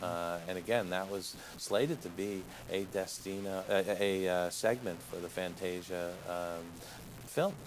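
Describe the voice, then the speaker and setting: A man explaining history in an unscripted interview, halting and repeating words as he goes. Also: deep voice. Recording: clear background ambient noise.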